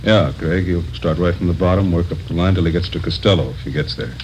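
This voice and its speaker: Noisy old-time radio drama. A commanding chief of detectives played by a man talks in a very low voice. He is curt, authoritative and all business. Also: fast, darkish tone, lightly acted. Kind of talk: 1950s radio drama acting.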